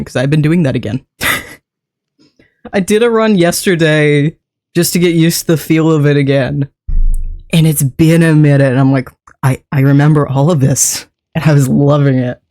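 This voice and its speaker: A chatty streamer who talks casually into a desk mic, rambling from one small thing to the next and laughing easily. Being a bit sick has made the voice sit deeper than usual, though the pitch stays in a middle range. The speaker keeps greeting and thanking people in chat between thoughts. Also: steady tone, moderate pace, darkish tone. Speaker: game streamer.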